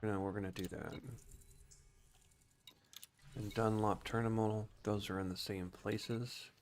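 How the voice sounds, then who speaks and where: A man's voice: lowish voice, level tone, slow, slight background noise. A man giving casual, explanatory video game commentary, talking informally and thinking aloud as a streamer.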